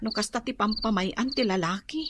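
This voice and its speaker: A woman's voice: medium pitch, moderate pace, background noise, close to an everyday voice. A woman playing a sympathetic, fretful neighbour in a radio drama. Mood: pitying, fretful. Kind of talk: Filipino radio drama acting. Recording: night insect sound effects behind.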